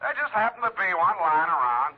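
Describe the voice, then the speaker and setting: A man's voice: sits high, very animated, fairly quick. On a narrow, muffled 1940s radio broadcast, a hard-boiled private eye. He is wry and offhand, tossing out wisecracks with little hesitant asides. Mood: flippant, teasing. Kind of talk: 1940s radio drama acting.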